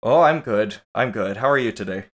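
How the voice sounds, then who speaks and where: Sleepy, rambling podcast co-host, low-voiced man. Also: brisk. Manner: relaxed, rambling, playful. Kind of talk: casual movie podcast chat.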